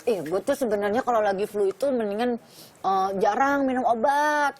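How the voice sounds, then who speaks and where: A woman's voice: a little high, normal speed. Playful Jakarta woman co-host, studio crowd behind. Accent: Jakarta Indonesian. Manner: playful, colloquial, inquisitive. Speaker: talk show co-host. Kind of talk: casual comic banter. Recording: studio with audience noise.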